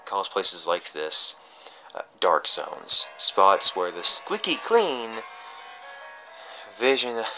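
A man giving an amateur dramatic reading of a horror story as a confessional, halting and stumbling, with drawn-out pauses. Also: sits low, pushed and bright, poor audio.

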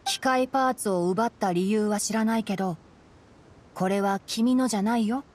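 A woman playing a young male forest ranger in a Japanese anime game, calm and studious, explaining things in a measured way. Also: mid-range voice, a little hiss or hum, lightly acted. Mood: calm, analytical. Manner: measured, matter-of-fact explaining.

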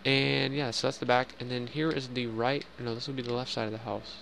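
A man's voice: medium pitch, little pitch movement, fairly quick, creaky, a bit bright, slight background noise, poor audio. A young man casually narrating a game house tour in voice-over, rambling with fillers and joking at himself. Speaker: Minecraft builder giving a house tour.

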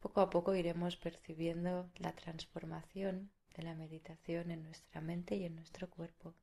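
Female meditation guide, Spain accent. Lowish register, flat steady intonation, soothing delivery with long pauses. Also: gravelly.

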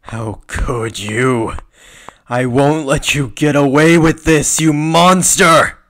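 A man's voice: medium pitch, unhurried, lightly acted. A man voicing a kind, determined young anime hero with earnest conviction. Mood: anguished, angry. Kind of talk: anime voice acting demo.

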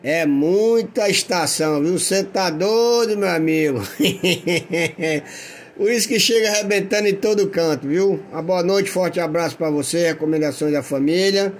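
A Brazilian man with a somewhat high-pitched voice talks casually as a radio operator. He is chatty and friendly, full of admiration for the person he is talking to.

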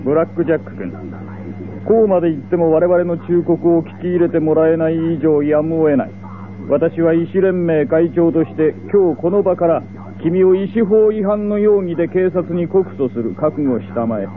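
A stern, pompous male medical chairman acted by a man in a 1970s radio drama, formal and unyielding, pitched a little high. The recording is thin and phone-like. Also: moderate pace, faint music behind, lightly acted. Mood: threatening, pompous. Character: stern, authoritarian male head of medical association. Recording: tinny old radio recording with hiss.

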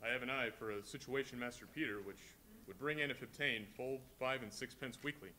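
An actor playing a meek, kindly father in a live stage reading, gentle and placating. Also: mid-range voice, brisk, slight background noise, close to an everyday voice.

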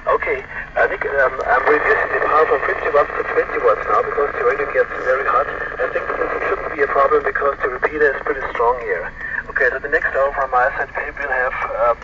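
A man with a German accent talking hesitantly as an amateur radio operator over a two-way radio. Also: medium pitch. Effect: two-way radio transmission. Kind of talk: ham radio contact in English. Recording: dull distant radio audio, loud noise.

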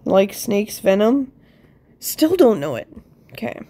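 A woman with a soft-edged, mellow voice and a blocked-nose sound. She speaks slowly at a middling pitch, thinking aloud in casual game commentary and gently putting herself down. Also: sing-song. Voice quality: congested, stuffed-up nose. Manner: thinking aloud, self-deprecating. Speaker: player commenting on own gameplay. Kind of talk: casual voice-over on a word puzzle game.

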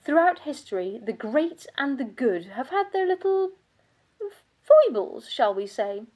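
An actress playing a bitter, world-weary aristocratic vampire countess in a theatrical monologue, pompous and sardonic in manner. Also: female voice, high voice, very animated, measured pace, darkish tone, full character voice. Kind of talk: scripted dramatic monologue.

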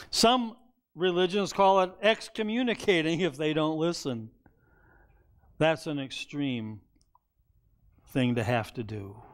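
An older man delivers a sermon in an easy, conversational way, his voice sitting fairly high. He acts out the people he quotes, and his voice carries across a church sanctuary from behind the pulpit. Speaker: pastor.

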